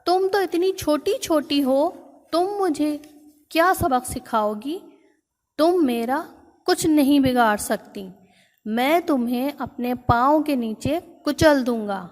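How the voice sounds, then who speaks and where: A woman voicing a big, boastful male elephant who cries loudly and begs. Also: high voice, long reverb tail, lightly acted. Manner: loud, pleading cries.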